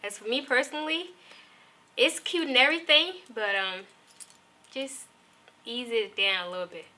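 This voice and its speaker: A woman guest on a talk show, speaking candidly and relaxed about dating. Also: fairly high pitch, moderate pace, slight background noise. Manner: candid, relaxed, gives short agreeing replies. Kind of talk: casual talk show interview.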